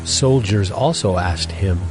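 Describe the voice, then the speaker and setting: Male audio Bible narrator, lowish pitch, scripted storytelling read, clear music bed. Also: takes it slowly, darkish tone.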